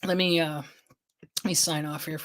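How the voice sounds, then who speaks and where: A woman with a slightly low voice hosts a casual legal news livestream. She rambles and restarts her sentences while she reads viewer comments. Her voice is getting hoarse as the stream goes on. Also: steady tone, moderate pace, fairly bright tone, slight background noise. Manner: rambling, many restarts and fillers, thinking aloud. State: losing her voice. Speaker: legal affairs journalist hosting a livestream.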